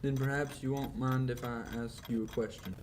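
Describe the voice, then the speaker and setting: A courteous, fussy middle-aged shopkeeper acted by a young man in an old-time radio play. His medium-pitched voice is hesitant and deferential, with clocks ticking and faint noise behind. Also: steady tone, normal speed, a little mellow, natural voice. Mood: deferential, cautious. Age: young adult. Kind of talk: 1940s radio acting.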